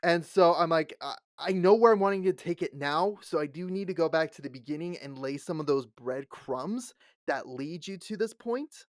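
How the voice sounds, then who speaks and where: A man's voice: a little high, lively intonation, normal speed, no echo, clean studio sound. A man in his late twenties, co-hosting a podcast in casual chat, laughing often and acting out the people he quotes. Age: late 20s. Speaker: podcast co-host.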